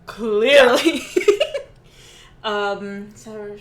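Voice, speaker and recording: A woman's voice: medium pitch, drawn-out words, fairly bright tone. A woman hosting a tabletop game on a livestream, chatting casually in a playful, joking way and laughing readily. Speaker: game master and stream host.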